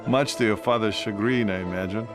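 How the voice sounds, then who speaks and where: A man's voice: lowish voice, brisk, close to an everyday voice. An actor playing a wry, cynical Soviet soldier reading his letter home in a video game, with a sardonic manner. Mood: wry, weary. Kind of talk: letter read as game voice-over. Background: music.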